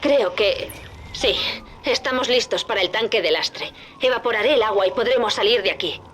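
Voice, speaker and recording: High-pitched, determined young daughter played by a woman. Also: moderate pace, lightly acted. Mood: determined, urgent. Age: young adult. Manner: urgent, instructing. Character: determined, devoted young daughter guiding her father. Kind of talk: dramatic video game acting. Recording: clear noise under the voice.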